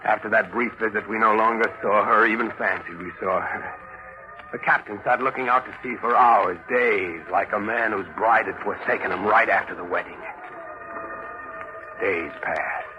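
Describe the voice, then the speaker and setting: An actor playing an earnest ship's officer, dramatic and emphatic, narrating and acting in a 1940s radio drama. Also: male voice, fairly low pitch, steady tone, moderate pace, highs cut off, over music, natural voice. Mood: grave, haunted. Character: earnest ship's officer recounting a haunted voyage. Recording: old 1940s radio recording.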